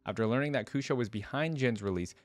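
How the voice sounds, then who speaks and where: A man's voice: medium pitch, fast, no echo. A man hosting a reaction video, reading aloud and adding casual, informal asides to viewers.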